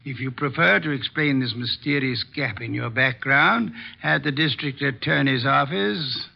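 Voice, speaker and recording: An actor playing a shrewd, imperious private detective with formal, deliberate speech in an old radio drama. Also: male voice, medium pitch, vocal fry. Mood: measured, probing. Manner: formal, deliberate, precise questioning. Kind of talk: 1940s-50s radio detective drama acting. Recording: dull old radio broadcast recording.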